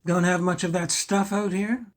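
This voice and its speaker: A man's voice: high-pitched, steady tone, moderate pace, close to an everyday voice. An actor playing a courteous, charming man on the run, guarded and a little hesitant, in an audio play. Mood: friendly.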